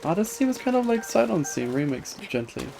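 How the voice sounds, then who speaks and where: A man live streaming a video game, chatting casually with viewers in a hesitant, halting way that often repeats words. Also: high voice, very animated, creaky. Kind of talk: casual chat while gaming, reading and answering viewer comments. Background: video game music.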